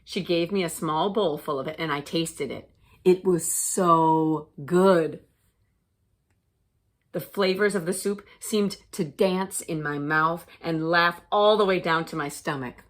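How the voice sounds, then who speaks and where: A woman reads a picture book aloud as a teacher would. Her voice sits a little low, and she takes her time, phrasing each line expressively for young children. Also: slow. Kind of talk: children's storybook read-aloud.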